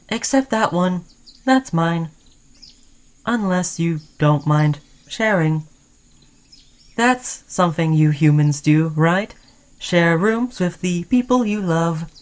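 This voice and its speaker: A woman's voice: mid-range voice, lightly acted. Woman voicing an obsessed, lovestruck robot companion. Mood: eager, pleased, then affectionate, coaxing. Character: obsessed, lovestruck sentient robot, clingy, caring yet menacing. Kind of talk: roleplay audio drama, intimate second-person monologue.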